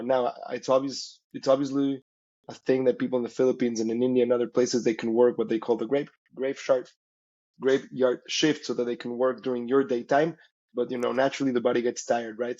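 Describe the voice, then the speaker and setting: A man's voice: mid-range voice, darkish tone, muffled recording. A man from Mexico, a native Spanish speaker, explaining conversationally as an interview guest, often checking in with "right?". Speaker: interview guest, company founder.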